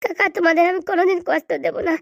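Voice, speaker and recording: A woman's voice: extremely high pitch, fairly even intonation, rapid-fire, creaky, dry recording, studio quality. A woman voicing a devoted, studious schoolboy nephew in a comedy cartoon, speaking earnestly and with feeling. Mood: tearful gratitude, earnest. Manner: earnest, emotional.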